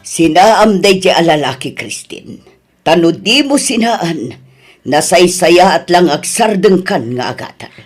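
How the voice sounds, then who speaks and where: A woman's voice: fairly low pitch, very animated, measured pace, breathy edge, faint music behind, full character voice. Ilocano mother in a radio drama. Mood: scolding, upset. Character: middle-aged mother, soft with a son, stern with a daughter. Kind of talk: Ilocano audio drama acting.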